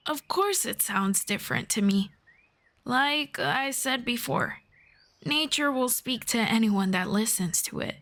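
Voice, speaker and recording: A woman voicing a gentle, teasing young elf friend in an unhurried fantasy roleplay audio drama in ASMR style. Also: a little high. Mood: calm, explaining.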